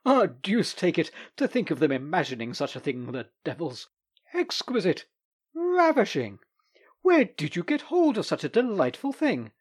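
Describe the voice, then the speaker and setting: A man voicing an excitable middle-aged male lawyer in a storybook reading, with gushing, squealing exclamations and a husky edge. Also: a little high, moderate pace, pitched above the performer's own voice, exaggerated voice. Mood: delighted.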